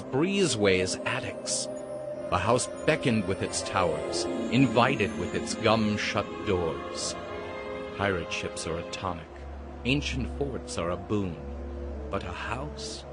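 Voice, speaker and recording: A man playing an ominous storyteller, narrating a spooky Halloween tale theatrically and savoring each phrase. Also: lowish voice. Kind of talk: dramatic scripted storybook narration. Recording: loud music under the voice.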